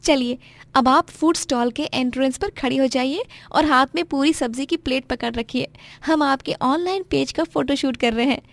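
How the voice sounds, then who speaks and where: A woman's voice: sits high, moderate pace, vocal fry, no room sound, voice pushed up. A woman voicing a fiery, protective young daughter, emphatic and spirited, in a moral story. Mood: eager, encouraging. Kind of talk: dramatised Hindi moral story.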